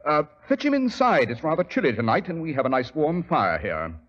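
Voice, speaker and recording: An actor playing a shrewd, commanding English gentleman detective with a British accent and clipped delivery in an old radio mystery. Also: a little high, big pitch swings, brisk. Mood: shrewd, probing. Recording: dull, muffled 1940s radio recording.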